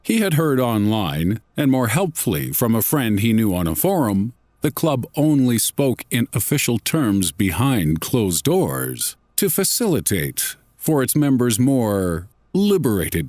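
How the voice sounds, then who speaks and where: Slow, low-voiced man narrating a horror story. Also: a little mellow, no room sound, clean studio sound.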